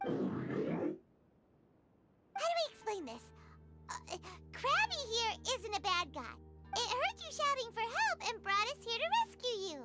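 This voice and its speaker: Squeaky, perky little fairy. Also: female voice, sing-song, unhurried, pauses often, full character voice. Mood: earnest, concerned. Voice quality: squeaky, childlike. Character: small, perky, childlike floating fairy companion. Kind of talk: English game voice acting. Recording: loud game music behind the voice.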